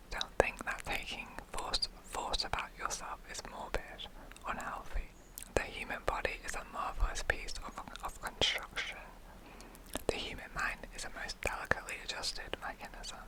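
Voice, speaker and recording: The voice is a soft, breathy whisper with no voicing at all. It reads like an ASMR version of a 1940s wartime broadcast. The phrasing is measured and instructive, each piece of advice set out carefully. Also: poor audio. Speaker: ASMR reader.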